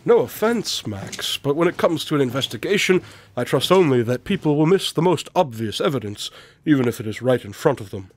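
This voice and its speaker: An actor playing a shrewd, confident male detective in a radio drama, speaking in a measured, deliberate and dryly condescending way. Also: mid-range voice, expressive, no room sound, natural voice. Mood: assertive, condescending.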